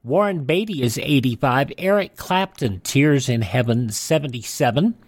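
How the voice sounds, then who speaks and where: A man's voice: mid-range voice, expressive, moderate pace, no echo. A man hosting a radio show, informally reading out a rundown of dates and names. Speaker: radio host. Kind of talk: informal radio rundown of on-this-day history and birthdays.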